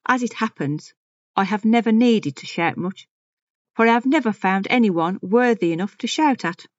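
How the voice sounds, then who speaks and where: A woman's voice: fairly high pitch, expressive, moderate pace, no echo, dull audio, lightly acted. A woman playing a fussy, haughty, anxious older woman in a dramatic audio monologue, with a pompous, self-important way of repeating herself. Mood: haughty, indignant. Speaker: audio story reader. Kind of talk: dramatic first-person monologue reading.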